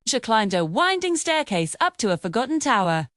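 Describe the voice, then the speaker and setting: A woman's voice: a little high, big pitch swings, normal speed, a bit bright, dry recording, studio quality. A woman reading a scripted fairy tale for children as the storyteller.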